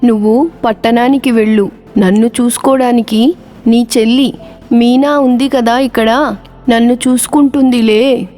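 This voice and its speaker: A woman voicing a caring, anxious older village mother in an animated children's story. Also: on the high side, measured pace, soft background music, natural voice. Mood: coaxing, reassuring.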